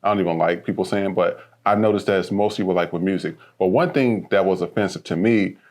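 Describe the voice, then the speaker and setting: Animated man telling stories casually. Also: low-pitched, fast talker. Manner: animated, emphatic storyteller. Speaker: podcast co-host. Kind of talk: casual chat.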